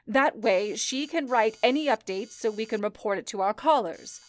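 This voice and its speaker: A woman playing a calm, commanding telephone switchboard supervisor in an old-time radio play, with a deliberate, authoritative way of speaking. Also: fairly high pitch, lightly acted. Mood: firm, organizing. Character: calm, commanding female telephone operator supervisor in wartime-like crisis.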